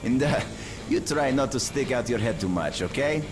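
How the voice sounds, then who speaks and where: A man playing a friendly, brisk underground survivor in a video game, matter-of-fact and folksy in his talk. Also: mid-range voice, lively intonation, background noise, natural voice. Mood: businesslike, helpful. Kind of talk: video game character dialogue.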